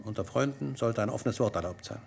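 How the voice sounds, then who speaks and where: A man's voice: medium pitch, fairly quick, creaky, slight background noise, close to an everyday voice. An actor playing a scheming, persuasive male doctor in a science fiction radio play. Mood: confidential, probing. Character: shrewd, scheming male doctor tempting a colleague.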